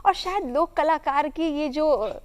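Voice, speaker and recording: A woman works as a television interview host. Her voice sits very high in pitch. She talks in effusive, ornate sentences as she guides her guest along. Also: a little slow. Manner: effusive, flowery phrasing. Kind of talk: broadcast interview hosting.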